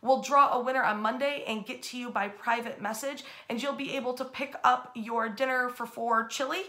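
An American woman in her thirties telling history informally, with an animated, emphatic delivery. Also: on the high side, moderate pace. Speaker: history storyteller and host. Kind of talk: informal history lesson told as storytelling. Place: bedroom, indoors.

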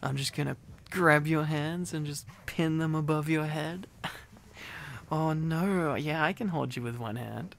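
Man acting a slow, gravelly, needy boyfriend. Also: fairly high pitch, a bit bright. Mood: giggly, playful. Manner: playful, teasing, rambling. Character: needy, silly, affectionate young boyfriend, playfully teasing. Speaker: ASMR roleplay performer. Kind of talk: boyfriend ASMR roleplay, intimate rambling to listener.

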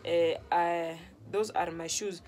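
A woman's voice: lowish voice, steady tone, takes it slowly, a little mellow. A young Kenyan woman, a native Luo speaker, explaining phrases casually to camera in a conversational, repetitive way, often checking that she is understood. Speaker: vlog host teaching phrases. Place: small room at home, on a couch.

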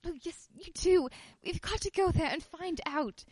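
A woman's voice: very high-pitched, moderate pace, a little mellow, no room sound. A woman acting an anxious but stubborn wife in an old-time radio play. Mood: defiant. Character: Pamela Brent, anxious but stubborn young English wife.

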